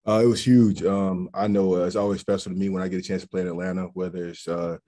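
Deep, fast-talking young man at a press conference. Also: level tone, mellow. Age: young adult. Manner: earnest, rambling with fillers and restarts. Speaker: college football offensive lineman. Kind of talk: post-game press conference answers. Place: press backdrop room, indoors. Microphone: video call.

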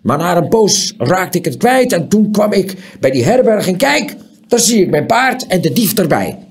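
A man voicing a burly, hot-tempered horse owner who bellows and sneers in a raised, creaky voice. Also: high voice, big pitch swings, normal speed, pitched above the performer's own voice, exaggerated voice. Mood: furious bellowing. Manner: bellowing, scornful.

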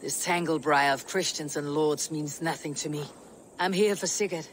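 An actor playing a stern, impatient Viking warrior with a blunt manner in a video game. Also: male voice, a little high, steady tone, normal speed, a bit bright, slight background noise, close to an everyday voice, rough recording. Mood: brooding. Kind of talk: dramatic video game acting.

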